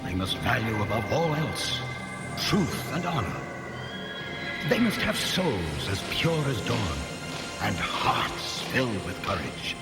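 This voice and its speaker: An actor playing a noble, solemn legendary king in an animated fantasy, speaking in a stately, formal, declamatory way. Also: fairly low pitch, takes it slowly. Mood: solemn, proclaiming. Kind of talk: grand animated fantasy drama acting. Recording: echoey, with loud background music.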